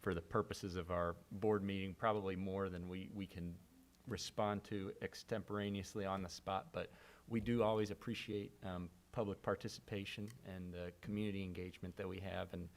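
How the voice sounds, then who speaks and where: Man chairing a school board meeting; low voice, easygoing procedural delivery. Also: moderate pace. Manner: relaxed, rambling procedural talk with fillers.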